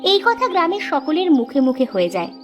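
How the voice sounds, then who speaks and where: Female storyteller for a cartoon, even and clear, slightly high. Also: a little fast, faint music behind. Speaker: narrator. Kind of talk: scripted storybook narration.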